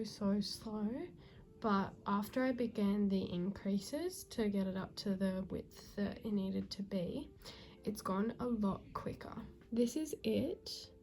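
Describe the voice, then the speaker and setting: A young woman vlogging casually about her crochet at home, her voice croaky and a bit nasal from a cold. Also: fairly high pitch. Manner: soft, chatty, relaxed, sometimes whispering. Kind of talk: casual vlog narration.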